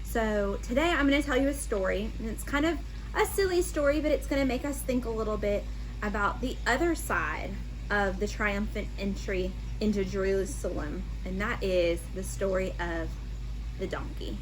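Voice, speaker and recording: A woman's voice: mid-range voice, moderate pace, rough recording. A woman speaking as a children's church storyteller, introducing story time for young children from home.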